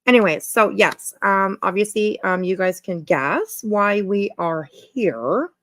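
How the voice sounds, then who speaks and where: A woman's voice: medium pitch, takes it slowly, a bit bright, no room sound. A woman hosting a casual livestream, chatting informally to viewers in a rambling way and repeating her phrases. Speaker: livestream host.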